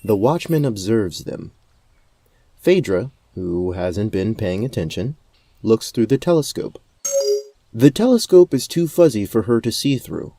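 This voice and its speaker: A man's voice: on the low side, big pitch swings, a little slow, dark tone. A man giving scripted narration for a fantasy audio drama. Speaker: narrator and game storyteller.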